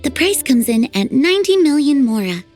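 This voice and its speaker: A courteous antique dealer speaking in a polite, businesslike way. Her lines carry some lively movement. Faint game music plays behind her. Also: high voice, a little fast. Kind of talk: video game voice acting.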